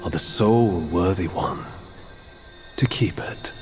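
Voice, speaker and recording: A calm holy bodhisattva, real deep and slow, soft music behind. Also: male voice. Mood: solemn. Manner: measured, solemn, formal. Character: calm male bodhisattva, solemn and serene. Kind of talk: game cutscene voice acting.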